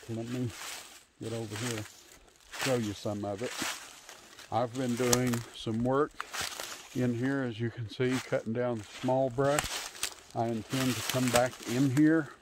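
Man in his fifties, medium-pitched voice, casual vlog narration, hesitant pauses, recorded outdoors on a phone. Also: fairly even intonation, noisy background. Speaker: homesteader hosting a channel. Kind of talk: casual unscripted tour narration. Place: outdoors in bare winter woods. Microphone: handheld phone at arm's length.

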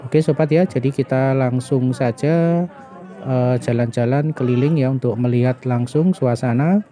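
A middle-aged man narrates a walking review as a vlogger, in halting phrases dotted with little tag words. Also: mid-range voice, warm tone. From Indonesia. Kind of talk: walking vlog narration, food bazaar review. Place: outdoor night food bazaar in a car park.

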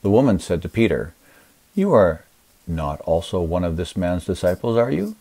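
A man reading scripture aloud as measured narration. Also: low voice, big pitch swings, warm tone, breathy edge.

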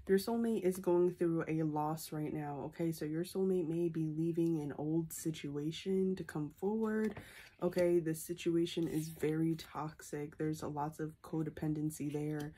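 A woman's voice: low-pitched, mellow, husky. A young woman with a stuffy, congested voice from a sinus infection, reading tarot into a phone camera in a small room, ending most points with "okay?". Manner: repeats a questioning "okay?" after nearly every point. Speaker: tarot reader. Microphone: phone camera at arm's length.

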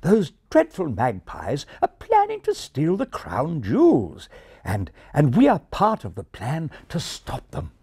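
A man's voice: a little high, huge pitch swings, a little slow, dark tone, husky, dry recording, studio quality, voice pushed up, heavily acted. A man voicing a proud English pigeon in a storybook, with a refined English accent and a proper, condescending manner. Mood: conspiratorial, earnest.

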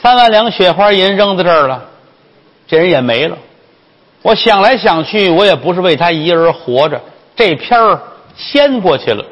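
A man voicing a polite, quietly cunning young courtesan with measured, hesitant delivery in comic storytelling. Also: sits high, very animated, in a large hall, pitched above the performer's own voice, exaggerated voice. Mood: resigned.

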